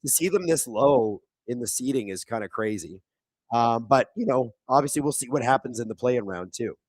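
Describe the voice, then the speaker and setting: Hoarse, raspy man hosting a hockey watchalong. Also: medium pitch, moderate pace, no room sound. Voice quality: thin, raspy voice. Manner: casual, reads viewer chat aloud; excitable play-by-play on big moments. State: hoarse, voice squeaking. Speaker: watchalong host. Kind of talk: live hockey watchalong commentary. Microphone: streaming microphone.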